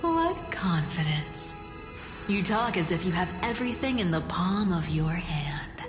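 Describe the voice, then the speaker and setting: A woman's voice: lowish voice, very animated, unhurried. An actress playing a sly, teasing female trickster demigod in a game, with a playful, mocking way of talking. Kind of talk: game voice acting. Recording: roomy echo, long reverb, music behind.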